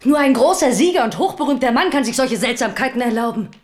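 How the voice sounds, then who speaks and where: An actress in a German radio drama playing an eager, ambitious confidante who urges and scolds. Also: female voice, high-pitched, quick pace. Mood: scolding, excited. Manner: urgent, scolding, excitable. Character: eager, ambitious female confidante scheming for wealth and power.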